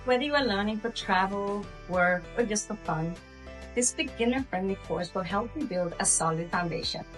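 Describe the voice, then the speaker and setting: A woman's voice: medium pitch, moderate pace, recorded from a distance, soft background music. A woman from Sweden, narrating a scripted beginner language lesson in an upbeat, inviting way. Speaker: language teacher.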